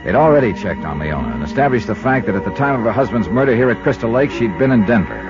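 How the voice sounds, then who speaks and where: An actor playing a dry, world-weary insurance investigator in 1950s radio detective style, clipped and deadpan, with an American accent. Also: male voice, lowish voice, fast talker. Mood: brooding, matter-of-fact. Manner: clipped, hard-boiled, deadpan. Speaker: lead actor and narrator of a detective series. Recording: muffled 1950s radio recording, music behind.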